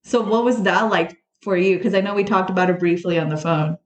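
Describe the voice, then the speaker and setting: A woman's voice: lowish voice, steady tone, brisk, reverberant. A woman hosting a podcast, asking a guest questions in a conversational way with filler words. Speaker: podcast host. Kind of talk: interviewing a guest.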